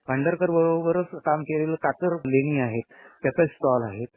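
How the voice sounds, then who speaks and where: Tinny narrow-band audio, man, slightly high pitch, explanatory interview statement. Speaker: institute board chairman giving a statement. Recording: thin phone-like narrow-band sound.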